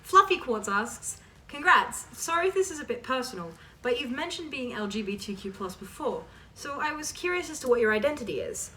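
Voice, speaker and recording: A woman's voice: high-pitched, animated, fairly quick, hardly any pauses, bright, forceful tone, recorded from a distance, slight background noise. A woman hosting a casual question-and-answer chat for her viewers, informal and emphatic as she reads questions aloud and answers them.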